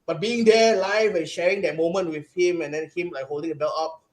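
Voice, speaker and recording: A young man with a Singaporean accent, excitedly recounting a live event as a podcast guest, with lots of restarts and fillers. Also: fairly high pitch, fairly quick. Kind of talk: casual chat.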